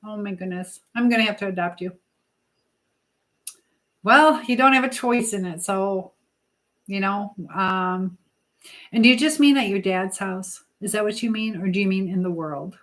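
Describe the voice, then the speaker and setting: A middle-aged woman chats casually on a livestream at home. Her voice sits at an average pitch for a woman. She rambles from one thing to the next, reading out and answering comments as she goes. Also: normal speed, pauses often. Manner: rambling, chatty, hops between topics and viewers. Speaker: livestream host. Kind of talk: casual live chat, answering viewer comments.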